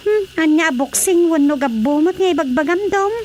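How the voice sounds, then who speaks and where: A woman's voice: sits high, animated, normal speed, a little mellow, a little hiss or hum. A woman playing a worried married daughter in a Filipino radio drama comedy, speaking expressively with a Filipino accent. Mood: worried, concerned. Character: worried married daughter, concerned for her quarreling parents.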